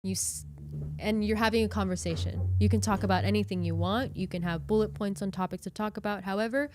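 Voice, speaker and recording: A chatty, expressive woman from Hawaii with a light Hawaiian accent, presenting tips casually in a podcasting webinar. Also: mid-range voice, a little fast, background noise.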